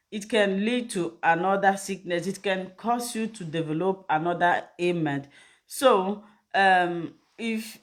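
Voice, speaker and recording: A middle-aged woman from Nigeria with a Nigerian accent, whose first language is Igbo, giving health advice in a loose, repetitive live chat and speaking straight to her viewers. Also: on the low side. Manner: rambling, repeats phrases, direct appeals to viewers. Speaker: health channel host. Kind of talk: casual live video talk.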